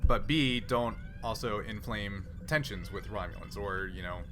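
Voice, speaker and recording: A man acting as game master, explaining the situation of a sci-fi tabletop game in a halting, improvised way. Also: fairly low pitch, music in the background.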